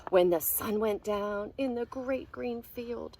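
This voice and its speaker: A woman reading a picture book aloud to preschoolers as their teacher, expressive and sing-song, often hushed, pausing to explain words and ask questions. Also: fairly high pitch, a little hiss or hum. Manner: animated, asks questions and explains words to children.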